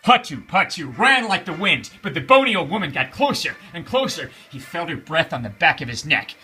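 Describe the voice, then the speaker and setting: Male storyteller, children's story narration, theatrical, emphatic, animated intonation, sharp forceful tone. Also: on the high side, brisk, breathy edge. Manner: theatrical, emphatic, repeating phrases for suspense.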